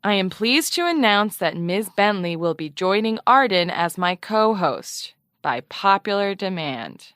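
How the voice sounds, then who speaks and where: An exasperated radio journalist in a comedic audio drama. She is formal and precise, with a sarcastic edge and a very animated melody. The sound is dry and studio-close. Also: mid-range voice, unhurried, few pauses, clean tone, dry recording. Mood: reluctant, exasperated. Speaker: podcast host and radio journalist. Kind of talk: mock investigative podcast, comedic audio drama.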